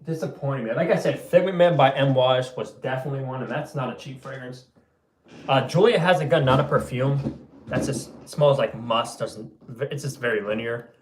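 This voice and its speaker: A young man hosting a fragrance livestream and reading out viewer comments in an easygoing way, his voice going a little hoarse. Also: medium pitch, normal speed, roomy sound, slight background noise. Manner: laid-back, loose, says bro and man a lot. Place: room at home.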